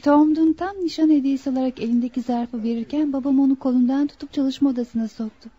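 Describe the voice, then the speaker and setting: An actress playing an anxious, devoted young bride-to-be in a Turkish radio play, with theatrical, pleading delivery. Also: female voice, high-pitched, fairly even intonation, fast, mellow, breathy edge. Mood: curious, concerned. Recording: dull lo-fi radio drama recording.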